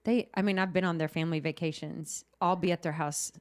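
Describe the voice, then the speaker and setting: A woman's voice: fairly low pitch, steady tone, a little fast. Chatty woman podcast host in her fifties. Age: 50s. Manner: chatty, encouraging, laughs often. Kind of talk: casual chat.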